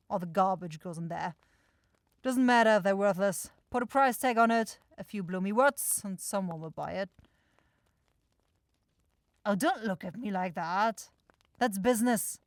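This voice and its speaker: A woman playing an irritable, sharp-tongued demon shopkeeper in a scripted fantasy ASMR roleplay, blunt and exasperated. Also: mid-range voice, no room sound, clean studio sound, close to an everyday voice. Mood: irritated, dismissive. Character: grumpy, sarcastic demon goat-eyed shopkeeper sister, sharp-tongued.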